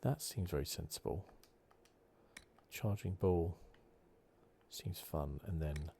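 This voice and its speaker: Very deep, hushed man. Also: takes it slowly, gravelly, slight background noise. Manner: soft-spoken, hushed, drifting into whispers. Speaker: gaming channel host. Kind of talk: ASMR video game playthrough commentary.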